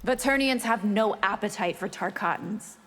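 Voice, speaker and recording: A woman's voice: medium pitch, moderate pace, fairly bright tone, natural voice. An actress playing a cold, scheming warrior woman in a fighting game, haughty and sly in manner. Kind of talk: dramatic video game dialogue. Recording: long reverb.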